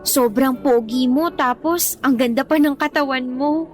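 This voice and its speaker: A woman's voice: high voice, unhurried, darkish tone, faint music behind. A young woman playing a friendly, frank, talkative college student in a Tagalog radio drama. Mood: frank, teasing. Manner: candid, teasing, talkative.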